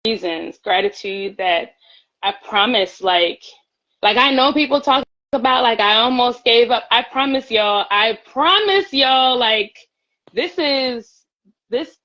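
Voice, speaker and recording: A woman's voice: on the high side, slow pace, sharp tone, dull audio. A woman singer talking earnestly as a guest in a casual live-stream chat, often repeating her words. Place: at home, by her pantry. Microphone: phone on a live stream.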